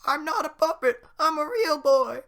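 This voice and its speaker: A man voicing a desperate wooden puppet boy in a very high, shrill voice. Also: expressive, normal speed, creaky, bright, forceful tone, full character voice. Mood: pleading. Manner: shrill, pleading.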